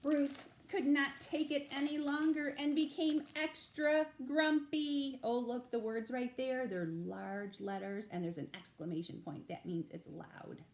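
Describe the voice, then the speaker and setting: A woman reads a picture book aloud for young children at an unhurried, slow pace. Her delivery is expressive and emphatic, and she steps out of the text to explain things like a children's librarian. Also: sits high, slight background noise. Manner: animated, emphatic, with explanatory asides to little listeners.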